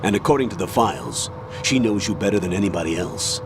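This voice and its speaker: An actor playing a stern, authoritative older military colonel in a video game, commanding, measured and formal as he gives a mission briefing. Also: fairly low pitch, background noise, natural voice. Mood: firm, persuasive.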